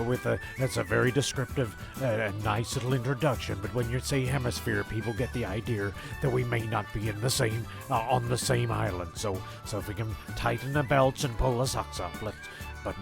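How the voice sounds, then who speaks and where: A man with a very creaky, mid-pitched voice hosts over music. He rambles colloquially and mock-seriously, stumbling as he narrates a comedy show. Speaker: host and narrator.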